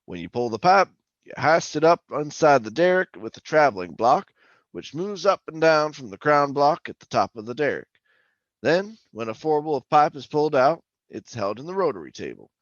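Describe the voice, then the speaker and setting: A man plays a talkative male oil-rig hand spinning an eerie yarn for a radio horror play. His delivery is colloquial and folksy, with wide swings in pitch. The recording is close and dry but slightly dull. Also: sing-song, normal speed, dry recording. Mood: folksy narrating. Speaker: actor and narrator. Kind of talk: old-time radio horror drama acting and first-person narration.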